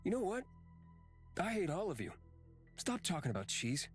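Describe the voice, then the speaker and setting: A man's voice: sits high, huge pitch swings, normal speed, faint music behind. A man voicing a grumpy, sarcastic young man in a visual novel, dry, cutting and impatient. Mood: exasperated.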